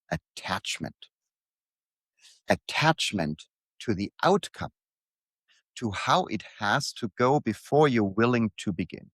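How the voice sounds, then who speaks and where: A man delivers a reflective motivational monologue in a mid-pitched voice with very lively intonation. He speaks in deliberate, short phrases and repeats patterns for emphasis. The sound is dry, with no room around it. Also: no room sound. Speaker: writer reading own newsletter aloud.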